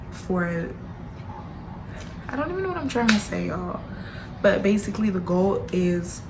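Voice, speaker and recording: A woman vlogger giving a casual, playful update straight to camera, full of asides to her viewers. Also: on the low side. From Haitian family background. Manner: chatty, playful, lots of y'all and baby girl asides. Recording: audible background noise.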